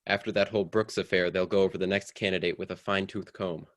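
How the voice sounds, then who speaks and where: A low-pitched, proper husband in a radio drama, played by a man. He talks in a measured, mildly condescending way, like someone ambitious and careful of appearances. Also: steady tone, fairly bright tone. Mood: mild disapproval. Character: proper, cautious, ambitious husband with political hopes. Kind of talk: old-time radio drama acting.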